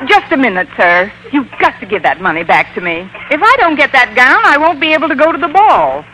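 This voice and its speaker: An American actress playing a wry, long-suffering schoolteacher with dry, sardonic delivery in an old-time radio comedy. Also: female voice, high voice, very animated, fairly quick, low-quality recording. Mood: flustered, pleading. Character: wry, wisecracking adult woman schoolteacher, lovelorn and long-suffering. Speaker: lead actress and narrator. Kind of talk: 1940s-50s radio comedy acting. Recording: vintage radio recording with faint noise.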